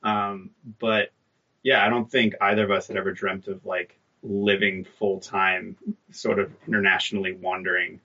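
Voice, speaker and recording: A man's voice: sits low, level tone, normal speed, sharp tone. A man in his thirties, a guest telling stories in an easygoing, self-deprecating way. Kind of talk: conversational interview. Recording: dull remote line.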